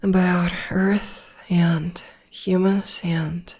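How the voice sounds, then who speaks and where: Casting: loving witch girlfriend for comfort ASMR, played by a woman, breathy and low, slow, soothing delivery. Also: little pitch movement, exaggerated voice. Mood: fond, reminiscing. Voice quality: soft, breathy, half-whispered. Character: gentle, loving witch girlfriend comforting her demon partner. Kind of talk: ASMR roleplay, intimate personal attention.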